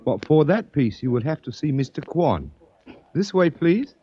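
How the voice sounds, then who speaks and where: An actor playing an obsequious male shop clerk with a husky voice, stiffly formal in 1940s radio style. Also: a little high, big pitch swings, normal speed, mellow. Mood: deferential. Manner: stiffly formal, keeps saying sir. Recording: dull dry old radio recording.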